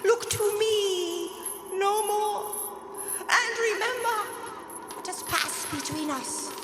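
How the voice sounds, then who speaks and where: A woman's voice: extremely high pitch, slow pace, heavy background noise. A woman playing the solemn ghost of a dead partner in live radio theater, with a portentous, commanding manner. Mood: solemn warning. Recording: reverberant hall, music behind.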